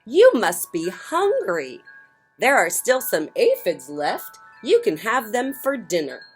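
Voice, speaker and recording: A woman voicing a gentle, friendly ladybug in a children's storybook, with a welcoming, drawn-out delivery. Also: medium pitch, huge pitch swings, faint music behind, pitched above the performer's own voice.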